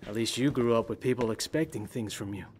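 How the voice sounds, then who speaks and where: A man's voice: medium pitch, moderate pace, a little hiss or hum, close to an everyday voice. An actor playing a laid-back, candid young male fighter in a video game. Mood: wry. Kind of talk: cinematic video game acting.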